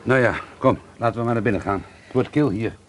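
An actor playing a curious, suspicious holidaymaker who paints, in a Dutch radio drama with a conversational, inquisitive delivery. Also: male voice, mid-range voice, a little fast, slight background noise, natural voice. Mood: relaxed.

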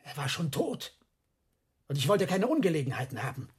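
An actor playing a nervous monk under questioning in a radio drama, answering in a halting, defensive way. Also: male voice, a little high, big pitch swings, husky, full character voice. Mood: defensive, evasive.

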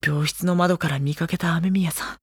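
An actor playing an earnest, brooding teenage schoolboy in a Japanese audio drama, questioning and narrating with dramatic pauses. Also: male voice, on the high side, steady tone, slightly rough, no echo, studio quality. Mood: anguished realization.

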